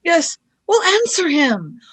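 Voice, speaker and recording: A woman's voice: very high-pitched, wildly swooping pitch, takes it slowly, fairly bright tone, exaggerated voice. A woman in a radio play acting an earnest, eager young companion with a pleading manner.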